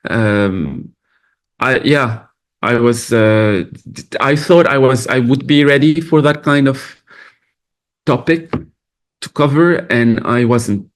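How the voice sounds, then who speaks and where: Brief: film director from Lebanon at a festival Q&A, a man of middle pitch, reflective and halting. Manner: thoughtful, halting, many restarts. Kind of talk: festival Q&A answers.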